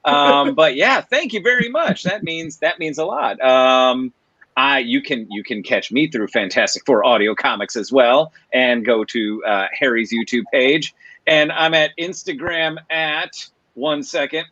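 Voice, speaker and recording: A man in his forties, a guest voice actor who teaches by day, chatting quickly with fillers. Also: mid-range voice, sharp tone, no echo. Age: 40s. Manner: quick, filler-heavy speech. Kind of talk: casual chat. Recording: dull, dry remote mic.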